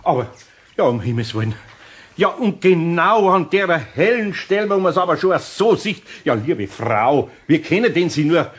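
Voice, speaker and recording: An actor with a Bavarian accent playing a good-natured but fussy older master carpenter in a radio play, grumbling and fretting in a homely way. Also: male voice, on the high side, sing-song, moderate pace, breathy edge. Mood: flustered, upset.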